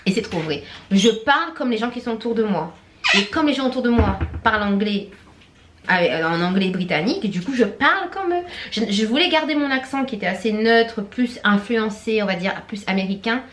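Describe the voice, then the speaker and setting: A young woman from Réunion, speaking, chats in a laughing, lively way with quick asides while she cooks in her home kitchen for a vlog. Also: mid-range voice, slight background noise. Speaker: vlogger. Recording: echoey room.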